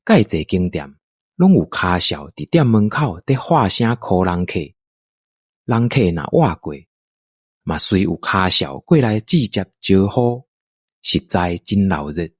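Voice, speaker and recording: Male narrator, Taiwanese accent. Very animated intonation, leisurely pace, storytelling for audio drama. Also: low-pitched, husky, no echo, studio quality. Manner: unhurried, expressive storytelling delivery.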